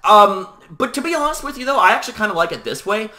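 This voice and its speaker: A young man hosting a video channel, talking casually to the camera at a deliberately lowered volume, loose and chatty with lots of "you know". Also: expressive, noisy background. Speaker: YouTube host.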